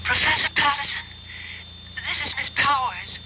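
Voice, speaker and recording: An actress playing an earnest, haunted young college student in a 1970s-style radio drama, hesitant and breathless with trailing pauses. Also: female voice, sits high, noisy background, natural voice. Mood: urgent, apologetic. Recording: muffled old radio broadcast recording.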